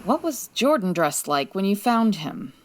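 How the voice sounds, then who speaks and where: A woman playing a sassy, sharp female private detective in a comedic 1940s-style radio drama, with wry, teasing delivery. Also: mid-range voice, very animated, a little slow, a little hiss or hum. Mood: excited, curious. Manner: wry, teasing, dry quips.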